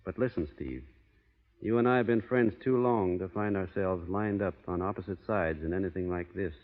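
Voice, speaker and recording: Male actor for radio western cowboy: low, understated, Western American drawl, firm code of honor; boxy vintage sound with hall reverb. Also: normal speed, narrow, tinny audio, natural voice. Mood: grave, quietly warning. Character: plainspoken, stubborn cowboy with a strict code of honor. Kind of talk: 1930s-40s radio western acting.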